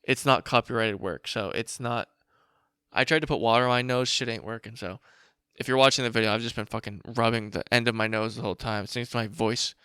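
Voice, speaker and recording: A man hosting a casual commentary show, reading documents aloud and remarking on them in a blunt, informal, profane way, with a slight rasp. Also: mid-range voice, fairly even intonation, fairly quick, bright, forceful tone, no echo, studio quality. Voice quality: raspy. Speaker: show host.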